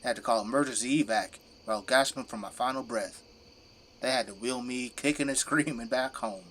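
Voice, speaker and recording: A man's voice: mid-range voice, a little fast, a bit bright, a little hiss or hum, close to an everyday voice. An actor playing a middle-aged, devoted father and plain-spoken government zombie fighter in an audio drama. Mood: earnest, reminiscing. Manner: commanding, plain-spoken, folksy turns of phrase. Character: gruff, devoted father working as a zombie-burning government janitor.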